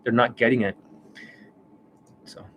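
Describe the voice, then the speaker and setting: A man hosting a live stream as a dating coach. He rants bluntly and swears often, and his voice is worn and hoarse from overuse, with coughs and throat clearing. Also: mid-range voice, steady tone, unhurried, muffled recording, a little hiss or hum. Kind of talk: livestream monologue. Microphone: desk microphone.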